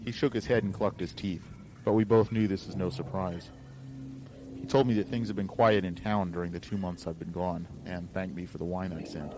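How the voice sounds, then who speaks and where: A man with a very deep voice reads a first-person story aloud as narrator. The recording has clear noise behind him. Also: fairly even intonation, moderate pace. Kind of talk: first-person fiction reading, scripted narration.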